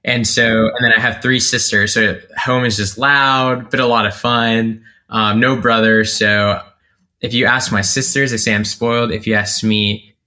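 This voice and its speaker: Man from North Carolina, interview guest, low pitch, bright tone, thoughtful and rambling. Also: steady tone, measured pace. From North Carolina, USA. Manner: reflective, many fillers and restarts. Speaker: guest, content creator. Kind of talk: casual interview talk.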